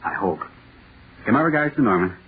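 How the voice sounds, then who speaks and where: Guy doing a jumpy worn-out radio writer, 1940s drama style, talks to himself in a halting way, crackly thin old recording. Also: mid-range voice, lively intonation, lightly acted. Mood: weary, wry. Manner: halting, muttering to himself, sighing. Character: jittery, overworked male horror-play author, restless and self-doubting. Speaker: radio playwright dictating a script. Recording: scratchy, noisy, tinny old radio broadcast.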